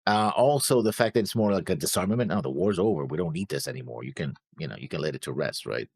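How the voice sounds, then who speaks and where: A low-pitched man with plenty of vocal fry talks casually as a co-host. His delivery swings up and down, halting and rephrasing as he makes his case. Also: animated, dry recording. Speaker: co-host of an anime reaction show. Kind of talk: casual discussion.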